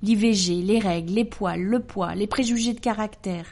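A woman in her forties hosting a podcast, reading a letter aloud in a calm, measured, earnest way. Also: medium pitch. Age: 40s. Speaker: podcast host.

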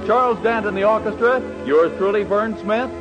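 A man's voice: high-pitched, normal speed, muffled recording, music in the background. A man working as a radio announcer in 1940s style, reading scripted introductions and ads with polished showmanship.

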